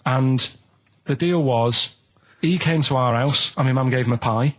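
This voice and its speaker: A young man, telling a long story on a radio show in halting, rambling sentences full of restarts. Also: medium pitch, a bit bright. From England. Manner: rambling, halting, plain-spoken anecdotes with many restarts.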